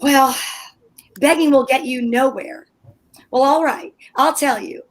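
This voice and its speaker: A woman's voice: high voice, measured pace. A woman reading the part of a huffy, exasperated wife in an amateur old-time radio play. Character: wife Liz, fussy dramatic housewife.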